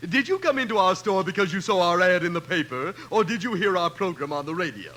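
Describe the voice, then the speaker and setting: An actor playing a chatty, needling store clerk in a 1950s radio comedy, on a noisy old broadcast. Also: male voice, high voice, expressive, quick pace, sharp tone. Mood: fussy, teasing.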